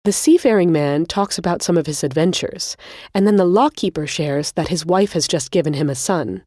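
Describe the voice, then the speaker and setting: A woman's voice: lowish voice, normal speed, warm tone, no echo. Dry, close-sounding woman narrating a podcast. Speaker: podcast host. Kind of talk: scripted narration.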